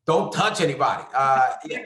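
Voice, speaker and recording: A man's voice: mid-range voice, big pitch swings, normal speed, fairly bright tone. A man from New York chatting casually as an actor guest, rambling with lots of fillers and restarts. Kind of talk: casual conversation. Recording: echoey room.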